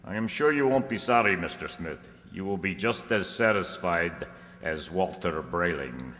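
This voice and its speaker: An actor playing a smooth, confident inventor selling his creations in a radio play, formal and measured. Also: deep voice, expressive, bright, forceful tone. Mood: suave, assured. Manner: measured, formal salesman's politeness, pausing with uhs. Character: smooth, courteous, confident male maker of robot doubles. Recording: echoey hall, long reverb.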